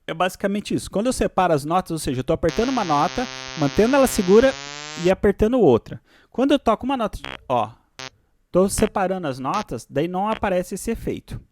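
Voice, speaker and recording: A man with a Brazilian accent presenting a software tutorial, switching between read-out explanations and casual asides. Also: sits high, lively intonation, fast talker, a little hiss or hum.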